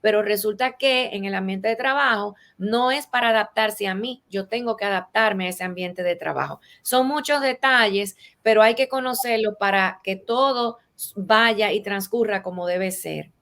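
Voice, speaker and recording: A woman's voice: medium pitch, normal speed, a bit bright. A woman with a Latin American Spanish accent, speaking as an expert guest in an interview and explaining rules with practical examples in a didactic way.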